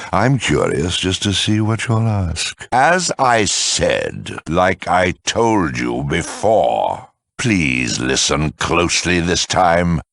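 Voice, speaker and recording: A hulking-sounding super mutant villain from a video game, with an abyssally deep, husky growl. He speaks slowly and theatrically, savoring his mock courtesy. The cruelty sits under a polite, sardonic surface. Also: a bit bright, no room sound, full character voice. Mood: condescending. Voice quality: gravelly, raspy.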